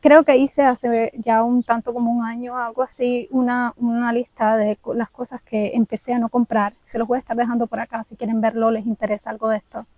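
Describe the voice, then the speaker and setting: High-voiced Cuban woman telling her story. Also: level tone, a little fast, few pauses, no echo, phone-quality sound. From Cuba. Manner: candid, reflective, speaks directly to viewers. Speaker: vlogger. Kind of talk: personal vlog storytelling.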